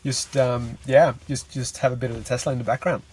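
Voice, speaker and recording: A man's voice: medium pitch, fairly even intonation, fairly quick, darkish tone, husky, poor audio. A Swedish man in his thirties, a guest on a podcast, answering thoughtfully with lots of fillers while he sits in a parked car. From Sweden. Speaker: guest, energy consultant. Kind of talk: casual interview answers. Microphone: podcast mic on a remote call.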